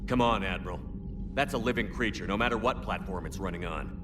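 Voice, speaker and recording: An actor playing a steady, resolute human military commander in measured video game dialogue. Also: male voice, low voice, background noise, lightly acted. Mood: steady, firm.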